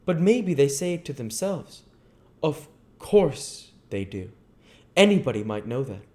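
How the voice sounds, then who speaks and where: A man voicing a bold, excitable boy adventurer in an emphatic, exclamatory way for a storybook reading. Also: mid-range voice, a little slow, pitched above the performer's own voice. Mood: musing.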